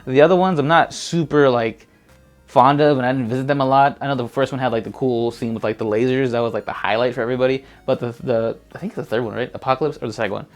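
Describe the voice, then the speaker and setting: A man with a mid-pitched voice co-hosts a casual chat about movies. His delivery is slangy, rambling and laid-back, with soft music playing behind him. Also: fairly even intonation, quick pace. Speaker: co-host. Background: background music.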